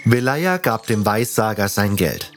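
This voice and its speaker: Ein Mann liest als Ich-Erzähler eine Fantasy-Geschichte nach Skript. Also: male voice, on the low side, sing-song, unhurried, faint music behind. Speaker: first-person narrator and protagonist. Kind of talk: scripted fantasy audiobook narration.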